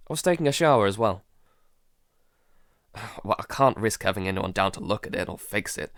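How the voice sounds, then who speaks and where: Male actor as anxious, sleepless shut-in for audio drama diary; low creaky voice, halting, sighing, very dry close sound. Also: no room sound, close to an everyday voice. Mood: resigned. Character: Jason, anxious, sleepless man barricaded at home, keeping a diary. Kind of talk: audio drama, first-person diary monologue.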